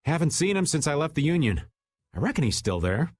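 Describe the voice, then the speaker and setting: A man's voice: on the high side, big pitch swings, fairly quick. An actor playing a laid-back, wily older man in a video game, talking in a casual, folksy, theatrical way. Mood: teasing, breezy. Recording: music under the voice. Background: game background music.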